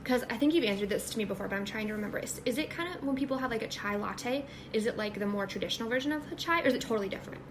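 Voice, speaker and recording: A woman's voice: on the high side, very fast, hardly any pauses, noisy background. A young woman hosting a podcast, chatting in an upbeat, casual way with fillers. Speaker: podcast host. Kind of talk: casual chat.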